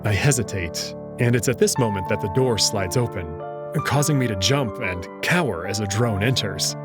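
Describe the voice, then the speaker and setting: A man's voice: lowish voice, moderate pace, loud music behind the voice. A man reading a first-person story as narrator in a dramatic storytelling style. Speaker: narrator and reader.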